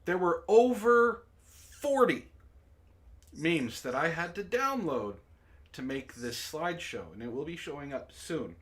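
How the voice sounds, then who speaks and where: A man's voice: fairly high pitch, expressive, measured pace, fairly bright tone. A man hosting a casual group livestream with informal, sweary banter. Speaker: host.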